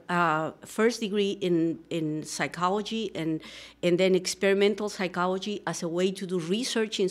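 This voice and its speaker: A middle-aged woman from Peru with a Peruvian Spanish accent, speaking earnestly as a guest in a radio studio interview, with many fillers and restarts. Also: medium pitch. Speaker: guest, social psychologist. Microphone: studio microphone, headphones.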